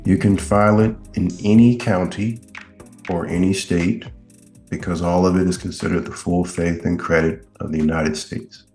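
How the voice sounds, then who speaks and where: A man's voice: deep voice, fairly even intonation, moderate pace, darkish tone, roomy sound, faint music behind. A man hosting a casual group question-and-answer call. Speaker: host taking members' questions.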